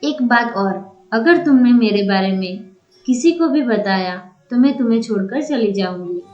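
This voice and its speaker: A woman voicing a gentle, secretive fairy in a Hindi moral story cartoon, soothing and coaxing, with echoey, dull sound. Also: a little high, lively intonation, normal speed, natural voice. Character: gentle, secretive young fairy befriending a child.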